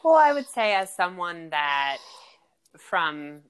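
Male guest with a high, bright-sounding voice, expressive and quick to laugh. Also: clear, resonant tone. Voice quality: high-pitched voice. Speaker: podcast guest. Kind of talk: podcast conversation.

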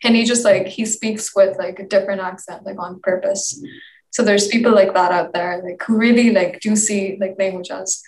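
A woman's voice: mid-range voice, steady tone, normal speed. A young woman leading a casual group discussion, talking in a chatty way and saying "like" a lot. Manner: chatty, heavy use of "like". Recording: echoey room, distant mic, faint noise.

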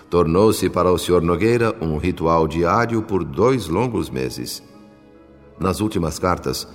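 Brazilian male narrator, solemn literary delivery, scripted radio drama, reverberant sound, faint music bed. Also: deep voice.

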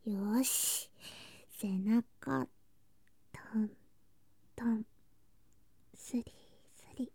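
Woman acting a soft high-voiced sleepy younger girl cousin. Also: steady tone, extremely slow, long pauses, no room sound, full character voice. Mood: drowsy coaxing. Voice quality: breathy, often whispering. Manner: soft, drowsy, coaxing, sweetly childlike. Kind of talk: ASMR situation voice drama, intimate bedtime roleplay.

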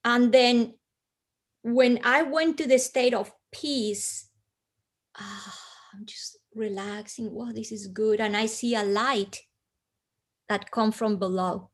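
A woman with a Colombian accent, a guest earnestly telling a personal story with pauses and restarts. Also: fairly high pitch.

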